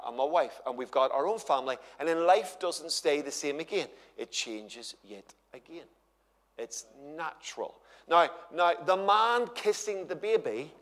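A man, preaching at a church conference with a chatty, humorous storytelling manner. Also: a little high. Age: 50s. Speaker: guest conference speaker. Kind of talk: conference sermon to a live audience. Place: large church hall with stage and audience. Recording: long hall reverb.

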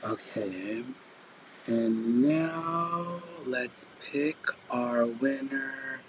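A man's voice: mid-range voice, drawn-out words, over music, rough recording. Playful man hosting a live sale. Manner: animated, playful announcing with sing-song countdown. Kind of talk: livestream selling chat. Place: small indoor room with shelves of plush toys. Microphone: webcam at desk.

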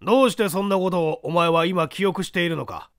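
A male reader acts out a stern judge from a mystery story. The voice sits a little high and is recorded dry. He probes bluntly and with authority. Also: fairly quick, no echo. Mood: stern, probing. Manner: blunt, commanding, probing. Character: stern male examining judge grilling a witness.

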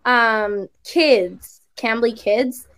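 A woman's voice: a little high, extremely slow, fairly bright tone. A young woman whose first language is Spanish, chatting bubbly and laughing often as an online English tutor on a video call. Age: young adult. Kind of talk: casual chat on a video call. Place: indoor room.

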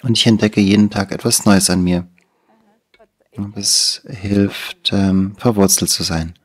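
A man in his sixties from the Netherlands, speaking German with a Dutch accent as an interview guest. He tells his story in a thoughtful, unhurried way in a small studio, on a lapel mic. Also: fairly low pitch, fairly even intonation. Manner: thoughtful, unhurried, gestures while talking. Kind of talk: reflective conversational storytelling.

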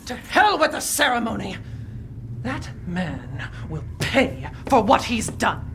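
Haughty, slow-spoken aristocratic vampire lady. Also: a little high, sing-song, gravelly, noisy background, full character voice. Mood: furious. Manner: theatrical, condescending, disdainful. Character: imperious, haughty towering aristocratic vampire lady, mother of daughters. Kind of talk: dramatic video game cutscene acting.

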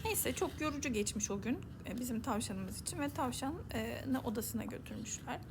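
A woman narrating a children's bedtime story in a soft ASMR style, sometimes whispering. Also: on the high side, animated, a little fast, noisy background.

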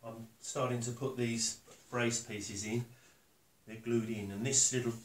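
An older man giving a casual step-by-step demonstration, halting and matter-of-fact as he thinks aloud while working. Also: medium pitch, steady tone. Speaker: woodworker demonstrating his build. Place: concrete-block workshop and house room. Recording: echoey room, faint noise, handling clicks.

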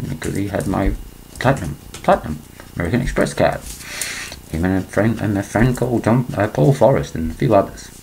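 Gravelly older man rambling to a webcam. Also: fairly low pitch, darkish tone, slight background noise, poor audio. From England. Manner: rambling, digressive, frequent throat clearing. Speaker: vlogger. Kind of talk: rambling conspiracy monologue to webcam. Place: small indoor room. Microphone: laptop webcam.

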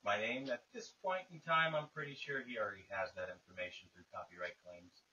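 A man's voice: fairly low pitch, fairly quick, pushed and bright. A man calling someone on the phone, arguing his case insistently in wordy, formal phrasing. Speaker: caller. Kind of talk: tense, argumentative phone conversation. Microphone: phone call. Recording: lo-fi hissy phone call recording, distant.

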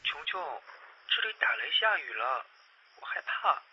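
Man acting playful clingy godfather, teasing, high-pitched, piercing, telephone effect, radio play. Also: sing-song, moderate pace, pushed and bright, slight background noise, exaggerated voice. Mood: whiny. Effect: telephone filter. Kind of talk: Chinese audio drama acting. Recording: dull telephone-filtered voice.

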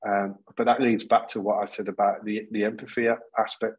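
Husky low-voiced man, earnest. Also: level tone, brisk. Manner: earnest, many restarts and repeated words. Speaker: panel guest, school leader. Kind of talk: video-call panel discussion. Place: plain indoor room. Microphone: video call.

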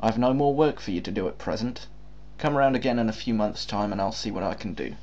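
A man's voice: on the low side, brisk, darkish tone, dull audio, close to an everyday voice. A man voicing a smug, affable capitalist. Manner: smooth, condescending, affable. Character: smug affable male capitalist, middle-aged.

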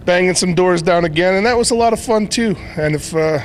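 A middle-aged man chatting as an interview guest, relaxed and joking, into a handheld mic. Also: high voice, brisk, slight background noise. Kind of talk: casual chat. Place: convention hotel room. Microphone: handheld mic held by interviewer.

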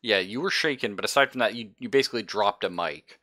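A man's voice: on the low side, expressive, fast, sharp tone. A man narrating a tabletop roleplaying game as game master in a casual, informal, sweary way. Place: online game session, streamed.

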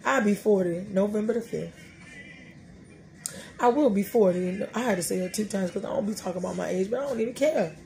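A woman's voice: mid-range voice, brisk, creaky, soft background music. A woman in her thirties hosting a casual faith podcast, talking animatedly and preachily from a small room. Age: 30s. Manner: animated, preachy, talks to herself, laughing asides. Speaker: podcast host. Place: small room, indoors before a dark curtain.

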